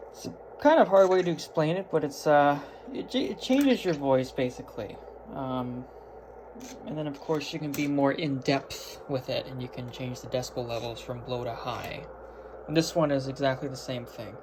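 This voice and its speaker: A man giving an informal, matter-of-fact, slangy hobbyist tour of his gear in an unscripted way, recorded indoors. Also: fairly high pitch. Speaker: hobbyist presenter. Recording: clearly noisy amateur video recording.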